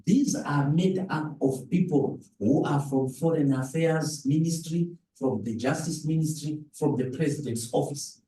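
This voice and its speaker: A man with a Zimbabwean accent giving a political talk as a panel speaker, deliberate and halting, repeating words for emphasis. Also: a little high, dark tone. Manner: deliberate, hesitant phrasing with emphatic repetition. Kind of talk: political talk at a public dialogue. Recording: roomy live event recording, slightly distant mic.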